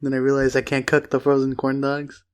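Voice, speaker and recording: A man's voice: medium pitch, completely flat delivery, fairly quick, clean tone. A young man co-hosting a podcast, chatting in a loose, rambling way with plenty of swearing. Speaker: podcast host. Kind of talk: casual chat.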